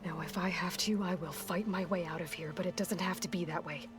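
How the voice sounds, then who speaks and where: A woman's voice: lowish voice, steady tone, brisk, lightly acted. An actress playing a resolute young female hunter in a video game, firm and level, over game music. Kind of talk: video game cutscene acting.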